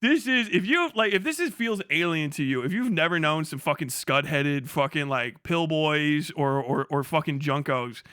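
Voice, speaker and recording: A man's voice: on the high side, animated, normal speed, hardly any pauses, a bit bright, smooth, dry recording, clean studio sound. A man giving casual, profane, slangy commentary as a live streamer, incredulous and mocking in manner. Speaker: live streamer reacting to internet drama.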